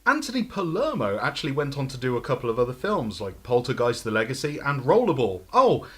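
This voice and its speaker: A man's voice: medium pitch, very animated, fairly quick, a bit bright, breathy edge, no room sound. A man presenting a retro game review in scripted voice-over, conversational with lightly wry asides. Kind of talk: scripted narration over video. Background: music.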